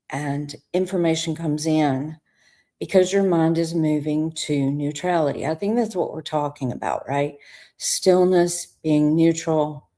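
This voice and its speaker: A woman's voice: low voice, dark tone. A woman hosting a podcast, talking in a reflective way about stillness and guiding the listener through ideas. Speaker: podcast host. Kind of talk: conversational spiritual talk.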